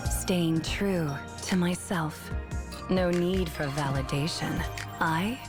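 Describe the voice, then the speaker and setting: A woman's voice: lowish voice, slow. An actress playing a poised, self-assured woman in dramatic game trailer delivery. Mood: serene, proud. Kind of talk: slow, dramatic trailer voice acting. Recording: music behind the voice.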